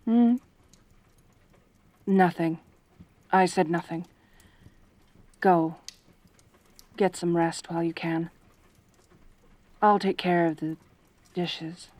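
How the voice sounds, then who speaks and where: A woman's voice: fairly low pitch, steady tone, drawn-out words, pauses often, darkish tone, no echo. A woman playing a lonely, possessive centaur girl in an intimate ASMR roleplay, tender and anxious, with a hesitant, pleading manner. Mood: hesitant, gentle.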